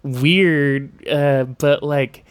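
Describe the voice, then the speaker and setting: A man hosting a podcast in casual conversation, with a smooth voice and a halting way of talking full of asides. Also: on the high side, fairly even intonation, no room sound. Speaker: podcast host.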